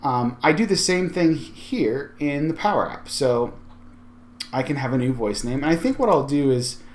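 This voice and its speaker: A man with a medium-pitched voice walks through a software tutorial. He talks in a conversational, explanatory way, as a presenter guiding viewers through a screen demonstration. His delivery is informal, often thinking aloud as he explains. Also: expressive, moderate pace, a little hiss or hum. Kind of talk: casual software screencast walkthrough.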